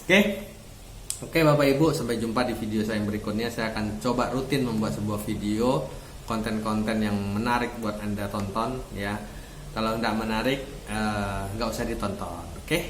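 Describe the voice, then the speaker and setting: A man's voice: lowish voice, steady tone, normal speed. Middle-aged man, echoey room. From Indonesia. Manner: reflective, repeats phrases, often ends with tag words. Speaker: vlogger and seminar speaker. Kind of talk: casual personal storytelling to camera. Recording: roomy echo, faint background noise.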